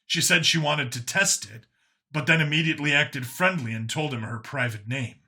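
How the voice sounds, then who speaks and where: A man's voice: on the high side, normal speed, sharp tone. A man narrating scripted fiction as an audiobook reader, with dramatic delivery.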